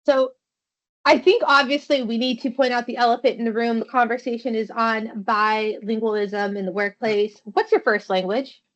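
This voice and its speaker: A woman's voice: fairly high pitch, steady tone, moderate pace, a bit bright. A woman hosting a podcast interview, asking questions in a loose, friendly way with many fillers. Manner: friendly, loose phrasing with "you know" and "like". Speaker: podcast host. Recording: dull, lo-fi sound.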